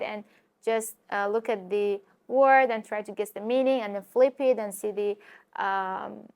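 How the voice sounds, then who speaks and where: Lively woman giving language tips. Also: on the high side. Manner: lively, direct, talks to viewers, frequent fillers. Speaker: language teacher and YouTuber. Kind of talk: casual vlog-style lesson.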